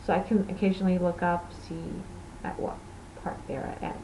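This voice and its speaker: A woman's voice: lowish voice, level tone, normal speed, dark tone, slight background noise, poor audio. A woman from Portugal, a native Portuguese speaker, hosting a knitting podcast in rambling, self-correcting casual chat.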